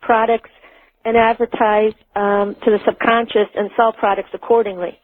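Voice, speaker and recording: Lady phoning a radio show, careful halting questions, dry thin telephone sound. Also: on the high side, little pitch movement, no room sound. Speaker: caller. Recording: tinny telephone line.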